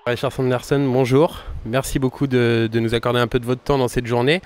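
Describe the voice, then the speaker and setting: A young man interviewing a guest, asking friendly questions quickly and often correcting himself mid-sentence. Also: medium pitch, level tone, over loud music. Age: young adult. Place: outdoors at a summer concert event.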